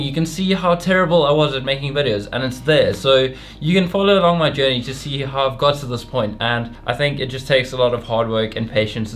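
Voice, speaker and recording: A man's voice: mid-range voice, a little hiss or hum. A young man, a vlogger, chatting casually and rambling a little as he answers viewer questions with his girlfriend. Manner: relaxed, rambling, laughs often. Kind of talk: casual couple Q&A.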